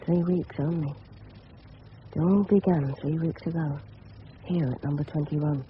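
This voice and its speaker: A man's voice: fairly high pitch, lightly acted. An actor playing an earnest, cocky English teenage boy in a radio drama, with a well-spoken southern English accent. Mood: confident. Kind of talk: 1970s radio drama acting. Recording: dull, noisy old radio broadcast.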